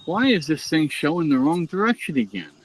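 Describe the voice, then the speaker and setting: A man hosting a casual livestream, easygoing and relaxed, reading out the chat and swearing now and then. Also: on the high side, fairly quick, slight background noise. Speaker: livestream host.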